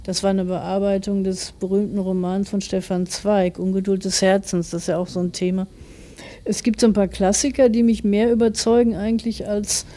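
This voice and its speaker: Mellow-voiced woman of forty, thoughtful. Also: medium pitch. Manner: reflective, careful, explanatory. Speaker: author being interviewed. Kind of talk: thoughtful interview answers.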